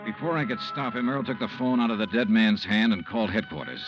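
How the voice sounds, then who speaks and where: An actor playing a cool, probing insurance investigator, dry and matter-of-fact, in 1950s radio noir style. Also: male voice, on the low side, fast talker, dull audio, over music, natural voice. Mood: measured, brooding. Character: cool, probing male insurance investigator narrating his case.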